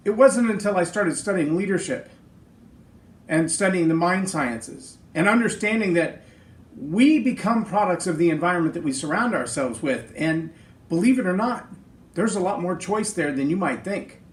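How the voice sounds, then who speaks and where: Middle-aged male podcast host, big high-set voice, earnest and emphatic, roomy echo. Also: animated, moderate pace. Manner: earnest, emphatic, speaks in lists. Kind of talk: motivational leadership talk.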